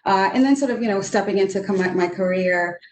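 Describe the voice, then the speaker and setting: A woman's voice: mid-range voice, quick pace, a little mellow, a little hiss or hum. A Trinidadian woman in her forties, an economist speaking earnestly on a video roundtable, with many fillers and restarts. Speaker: economist panelist. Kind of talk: roundtable discussion. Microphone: video call. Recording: roomy video call audio.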